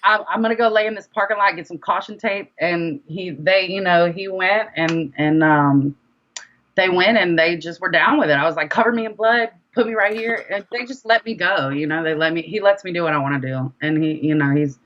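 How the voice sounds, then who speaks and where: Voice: mid-range voice, fairly quick, lo-fi sound. An independent rapper talking casually as an interview guest, chatty and informal, repeating phrases for emphasis.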